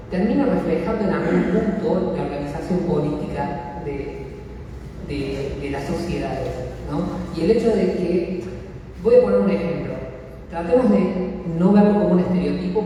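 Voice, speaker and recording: Lecturer, man, mellow voice, recorded from far off in an echoey hall. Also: fairly high pitch. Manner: measured, explanatory, addresses audience. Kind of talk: conference talk. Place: community center hall. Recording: echoey hall, mic far off, faint noise.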